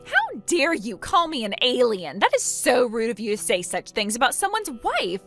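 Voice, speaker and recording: A woman's voice: on the high side, expressive, moderate pace, a bit bright, faint music behind. A woman voicing an indignant, self-pitying young wife in a melodramatic story, full of incredulous questions and outraged exclamations. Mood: offended. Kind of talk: acted dialogue in a melodramatic story.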